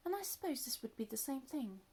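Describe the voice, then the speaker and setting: A small, earnest elephant calf pipes up high and eager, always most polite, as a woman storyteller puts him on. The voice rides well above her own and carries a husky, gravelly grain. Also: very animated, fairly quick, mellow, exaggerated voice. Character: young polite curious elephant calf, male.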